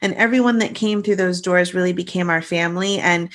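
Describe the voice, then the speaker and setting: A woman co-hosting a podcast, talking earnestly and warmly to her mother, with many "you know" fillers. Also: fairly low pitch, steady tone, fairly quick. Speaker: podcast co-host. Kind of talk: casual family chat.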